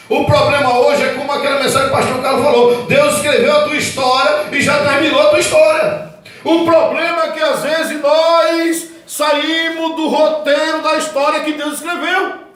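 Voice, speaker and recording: A Brazilian pastor in his forties preaching a sermon with fervor, swinging from loud outbursts to low, earnest tones. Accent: Brazilian Portuguese. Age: 40s. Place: church hall. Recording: echoey hall, mic at a distance.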